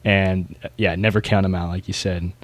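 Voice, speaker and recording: A man's voice: sits low, level tone, normal speed, dry recording. A man hosting a casual podcast, talking informally and knowledgeably about competitors in his field. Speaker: podcast host.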